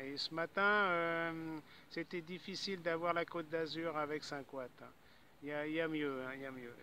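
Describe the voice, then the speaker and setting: A man's voice: a little high, pushed and bright, a little hiss or hum. A man chatting casually as an amateur radio operator, rambling and repeating his words as he goes. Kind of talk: casual ham radio chat.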